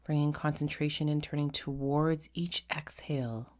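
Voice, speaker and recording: A woman leading a guided meditation in a gentle, unhurried manner, pausing often between short phrases. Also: sits low, fairly even intonation.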